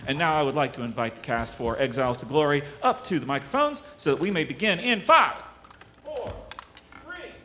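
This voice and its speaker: A man's voice: on the high side, animated, brisk, pushed and bright. A man announcing to an audience as emcee of a live radio theatre show. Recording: live stage recording with background noise.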